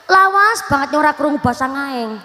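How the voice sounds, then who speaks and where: A woman preacher with a Banyumas Javanese accent, giving a joking, lively sermon and teasing the crowd. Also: high-pitched, moderate pace, long reverb tail, slight background noise. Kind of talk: lively comic Islamic sermon.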